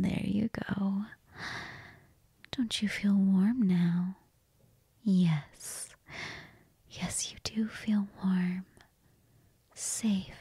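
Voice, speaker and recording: A woman voicing a cold, hungry female vampire, speaking in a slow, breathy whisper right at the microphone. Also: mid-range voice, mellow, dry recording, full character voice. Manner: slow, intimate, purring murmurs. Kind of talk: whispered ASMR roleplay. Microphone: close mic.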